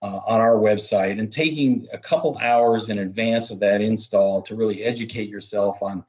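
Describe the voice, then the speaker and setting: A man giving explanatory interview answers as a business development manager, halting at times and laying out points one by one. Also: fairly low pitch, darkish tone, muffled recording.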